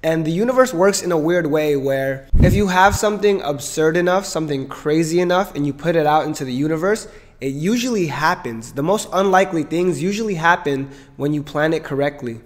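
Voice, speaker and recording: Upbeat young guy in his twenties, a bit high-voiced, punchy and persuasive, business vlog delivery. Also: a little fast. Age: 20s. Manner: energetic, emphatic, persuasive. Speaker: entrepreneur vlogger. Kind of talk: direct-to-camera business vlog.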